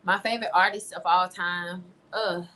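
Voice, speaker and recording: A woman's voice: lowish voice, steady tone, moderate pace, bright, forceful tone. A woman speaking casually as an interview guest, emphatic and repeating phrases to make her point. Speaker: interview guest, singer-songwriter.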